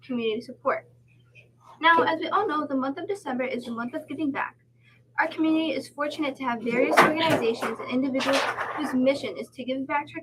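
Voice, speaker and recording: Young woman host, high bright pitch, rapid delivery, formal scripted intro. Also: slight background noise, low-quality recording. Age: young adult. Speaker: student podcast host.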